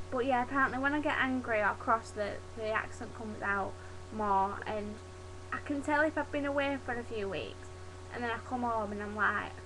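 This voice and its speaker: A young woman from Yorkshire with a softened Yorkshire accent, chatting casually to her webcam. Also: on the high side, noisy background. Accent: Yorkshire, softened toward standard British English. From Yorkshire, England. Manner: chatty, demonstrates dialect words, laughs at herself. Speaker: vlogger. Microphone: webcam mic.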